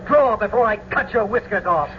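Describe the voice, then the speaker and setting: High-pitched, mocking young swordsman. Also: expressive, normal speed, highs cut off. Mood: mocking, defiant. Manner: theatrical, taunting. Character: mocking, quarrelsome young swordsman, witty and defiant. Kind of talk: old-time radio Shakespeare acting. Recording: noisy, band-limited old radio recording.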